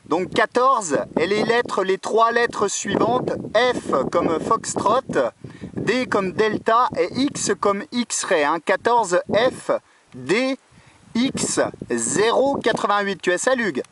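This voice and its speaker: A man with a distinctly high voice talks as a radio operator, tagging his phrases with little checking words. Clear noise, a rumble with clicks, sits behind him as he chats through the contact. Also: lively intonation, takes it slowly. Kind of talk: radio contact chat, spelling out call signs.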